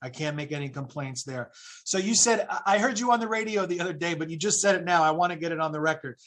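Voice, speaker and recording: A man's voice: fairly high pitch, fast, a bit bright. A man serving as a council member, asking questions at a hearing in a casual, friendly way and reading prepared questions.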